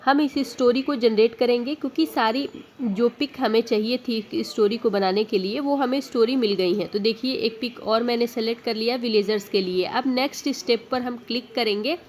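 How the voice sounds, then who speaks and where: A woman's voice: on the high side, a bit bright. A woman narrating a step-by-step tutorial as the host.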